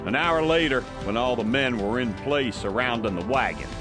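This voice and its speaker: Animated male western narrator. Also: mid-range voice, normal speed. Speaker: audiobook narrator. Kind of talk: scripted dramatic narration of a western. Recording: music behind.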